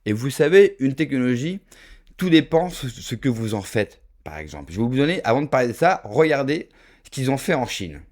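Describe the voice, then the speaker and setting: A man's voice: medium pitch, very animated, a little fast, darkish tone. A man from France giving an emphatic opinion monologue to his viewers, full of rhetorical asides and direct address. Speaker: political video commentator.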